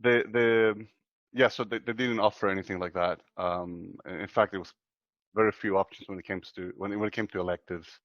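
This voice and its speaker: A man from Chile in his thirties, talking in a casual interview as the guest, soft-spoken and hesitant with many fillers. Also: on the low side, steady tone, brisk, dry recording, dull audio. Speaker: guest computer scientist.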